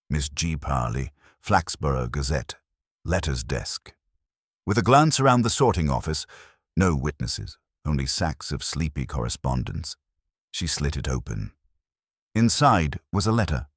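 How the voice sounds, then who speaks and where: Male narrator, very low register, measured literary delivery for mystery fiction, expressive intonation, close dry recording. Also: no room sound. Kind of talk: scripted audio mystery story narration.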